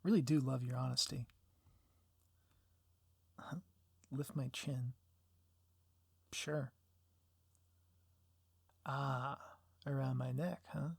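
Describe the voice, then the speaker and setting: A man playing a reformed villain turned sappy, dorky sweetheart in an ASMR roleplay, speaking softly and intimately with gentle teasing. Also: mid-range voice, fairly even intonation, very slow, gravelly, long pauses, husky, dry recording, exaggerated voice. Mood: smitten, soft.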